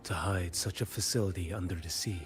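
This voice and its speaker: A stern blind warrior, voiced by a man speaks in a very deep, grave voice. His lines are short and cold, sometimes dropping to a whisper. Faint game music plays underneath. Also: fairly even intonation, a little fast, lightly acted. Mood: grim.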